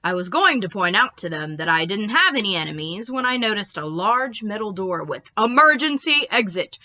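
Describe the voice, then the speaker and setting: A woman's voice: medium pitch, big pitch swings, brisk, pushed and bright. A woman reading a children's bedtime story aloud expressively, on a slightly dull recording.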